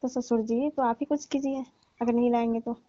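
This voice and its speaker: A woman's voice: a little high, fairly even intonation, fairly quick, mellow, dry recording, natural voice. A woman playing a coy, complaining young daughter-in-law in a staged comic phone call. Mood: pleading. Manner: coy, hinting, complaining, teasing. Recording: muffled, lo-fi, dry call recording.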